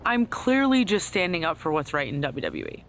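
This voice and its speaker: Smug scheming lady wrestling gm, lowish voice, condescending, video game cutscene, bit of noise behind. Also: big pitch swings, moderate pace. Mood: smug gloating. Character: smug scheming female wrestling general manager.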